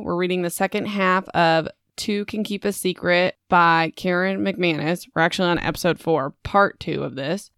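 A woman's voice: fairly low pitch, fairly bright tone, smooth, no room sound, clean studio sound. A young adult woman hosting a podcast, recapping a novel in a chatty, rambling way with self-deprecating asides. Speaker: podcast host. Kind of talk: casual book recap podcast.

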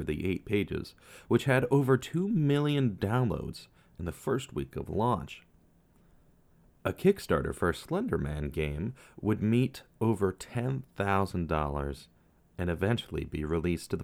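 Low-voiced man narrating true crime. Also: gravelly, darkish tone. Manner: measured storytelling with dramatic pauses. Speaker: podcast narrator.

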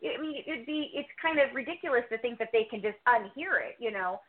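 A woman's voice: on the high side, expressive, fairly quick. A woman podcaster answering interview questions in a talkative, opinionated way, circling back to repeat her points. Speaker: true crime podcast co-host, interview guest. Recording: thin, phone-like line.

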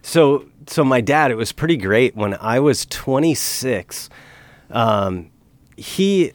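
A man's voice: mid-range voice, expressive, takes it slowly, no echo. A middle-aged man in casual podcast talk, earnest and emphatic, pressing his points with conviction.

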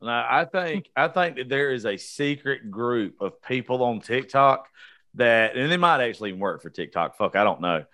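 A man hosts a casual chat show. His voice sits at a middle pitch. He talks bluntly and jokingly, with plenty of swearing thrown in. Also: animated, normal speed, sharp tone. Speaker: show host.

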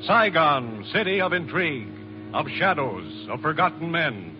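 Casting: vintage American male announcer, high and animated, theatrical delivery over clear music. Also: measured pace. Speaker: radio announcer. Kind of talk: dramatic 1940s radio announcing. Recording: muffled old radio recording, music behind.

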